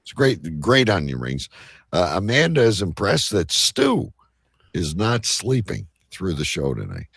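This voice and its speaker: A man hosting a live show with casual banter and a rough, gravelly voice. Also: low voice, huge pitch swings, measured pace, a bit bright, dry recording, studio quality. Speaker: host of a live online show.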